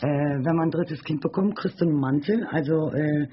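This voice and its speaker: A woman's voice: low-pitched, moderate pace. Woman, 40s, chatty, anecdotal, hesitant, dull lo-fi sound, faint hiss. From Germany. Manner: chatty, full of fillers and restarts while telling anecdotes. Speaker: studio guest. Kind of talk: casual storytelling chat. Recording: dull lo-fi radio recording.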